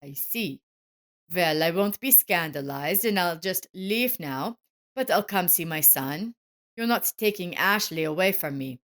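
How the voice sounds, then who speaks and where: A woman's voice: lowish voice, lively intonation, unhurried, fairly bright tone. A woman voicing a wounded but loving soldier father, earnest and plain-spoken, in a narrated story. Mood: hurt, resolute.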